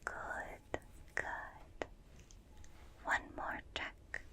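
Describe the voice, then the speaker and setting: A gentle alien examiner, played by a woman, whispers slowly and breathily, right up at the microphone. The phrasing is formal and clinical, full of quiet fascination with a tiny human, in a soothing ASMR roleplay style. The whisper has a bright, crisp edge. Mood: curious, soothing. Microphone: close binaural microphone.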